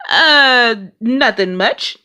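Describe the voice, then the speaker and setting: A woman's voice: a little high, lively intonation, very slow, sharp tone, clear, resonant tone, exaggerated voice. A woman voicing an earnest, folksy father bear from a children's storybook. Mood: sheepish.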